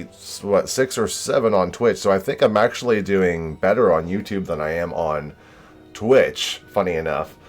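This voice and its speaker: A young man streaming a game, chatting casually with viewers in a modest, hesitant way full of fillers. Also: fairly low pitch.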